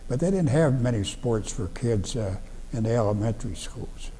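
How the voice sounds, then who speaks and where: An elderly man from Ohio, in his nineties, recalls old times in plain, halting speech full of restarts. His voice is dark and mellow. It rings with a long reverb in a library room, and a faint noise sits underneath. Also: mid-range voice, animated. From Ohio, USA. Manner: halting, plain-spoken, frequent restarts and fillers. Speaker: veteran interviewee. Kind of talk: oral history recollection.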